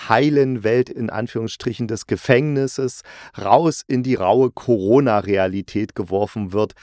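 A man's voice: lowish voice, no room sound, clean studio sound. A man hosting a podcast, giving a casual, chatty review in a playful, jokey way, often correcting himself mid-sentence. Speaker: podcast host and audio drama reviewer.

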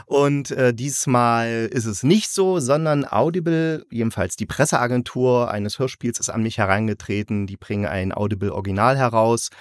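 A man's voice: medium pitch, moderate pace, few pauses, dry recording, studio quality. A man hosting a review podcast, chatting casually with a guest and explaining things as he goes. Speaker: host of an audio drama review podcast.

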